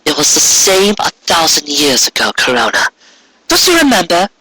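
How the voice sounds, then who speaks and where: A man's voice: fairly high pitch, huge pitch swings, normal speed, pushed and bright, breathy edge, dry recording, voice pushed up, full character voice, low-quality recording. A man voicing a defiant, bitter alicorn princess in a storybook reading, accusing and sarcastic. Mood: mocking defiance.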